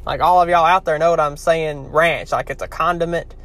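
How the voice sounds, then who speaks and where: Young man, heavy Louisiana accent, casual rambling vlog chat, slightly higher voice. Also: fairly even intonation, a little fast, fairly bright tone. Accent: heavy Southern US, Louisiana. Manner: chatty, rambling, talks straight to viewers. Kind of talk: casual talk to camera.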